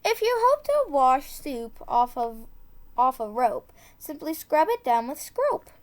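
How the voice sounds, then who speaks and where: Woman reading slowly in a high voice. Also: very animated. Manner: deliberate, trips over and corrects tongue twisters. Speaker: storybook reader. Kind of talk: reading a children's tongue-twister book aloud with casual asides.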